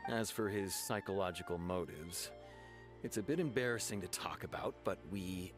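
Man acting a stern young guard, lowish voice, measured, formal, earnest, anime game, music behind. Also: close to an everyday voice. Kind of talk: anime video game dialogue.

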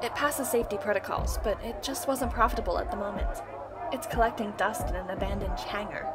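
A woman playing a nervous, flustered young survivor in a sci-fi audio drama, hesitant and excitable. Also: medium pitch, a little fast, close to an everyday voice. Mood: hopeful. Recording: music and crowd ambience behind.

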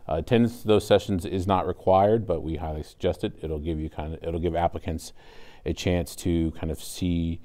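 A man's voice: deep voice, steady tone, normal speed, mellow. An American man in his thirties explaining his work as a supervisor in a studio interview. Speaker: 911 communications supervisor, interview guest. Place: indoor TV studio at a desk.

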